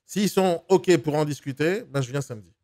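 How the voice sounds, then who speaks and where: A man's voice: on the high side, moderate pace, dark tone, dry recording, clean studio sound. A man posing as a buyer on a phone call, pressing hard and repeating himself to get his way. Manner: pushy, insistent, repeats himself. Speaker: mystery shopper posing as buyer.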